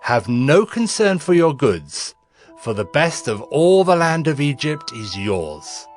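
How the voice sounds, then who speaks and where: Male actor for a generous king: slow, measured orders, lively inflection. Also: a little high, soft background music. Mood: commanding. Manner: slow, commanding. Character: Pharaoh, commanding older king, generous. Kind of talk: dramatized scripture reading.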